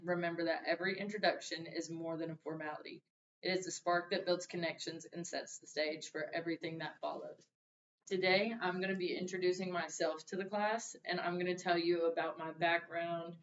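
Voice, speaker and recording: Young woman w a deep voice giving a class intro speech, pretty flat delivery, mic far off in an echoey room. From Louisiana and Arkansas. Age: young adult. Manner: relaxed, conversational, with laughs. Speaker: student. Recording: roomy, distant, dull mic with faint hiss.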